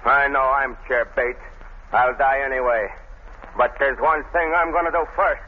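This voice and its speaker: An actor playing a tough, defiant gang boss with a rough voice, barking slangy orders in 1930s radio drama style. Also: male voice, medium pitch, fairly even intonation, normal speed, a little hiss or hum, close to an everyday voice. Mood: desperate, defiant. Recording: thin, phone-like 1930s radio recording.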